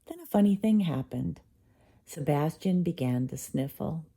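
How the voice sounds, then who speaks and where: A woman narrating a children's bedtime story in an expressive read-aloud style. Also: deep voice, unhurried, creaky, slightly rough. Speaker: storybook reader.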